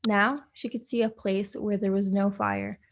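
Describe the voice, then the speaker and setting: A woman volunteer reading a children's story aloud in a clear, steady way over a video call. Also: medium pitch, moderate pace. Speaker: volunteer reader. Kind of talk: reading a picture book aloud.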